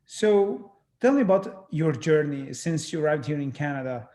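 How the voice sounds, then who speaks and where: A young man from Syria hosts a casual interview. His voice is a little higher than most men's. He asks questions briskly and in a friendly way over a video call. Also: lively intonation, mellow. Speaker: interview host.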